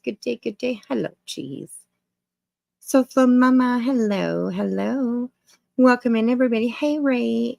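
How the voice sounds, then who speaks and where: A woman's voice: fairly high pitch, creaky, no echo. A woman hosting a casual livestream, chatting informally to viewers in a rambling way and repeating her phrases. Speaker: livestream host.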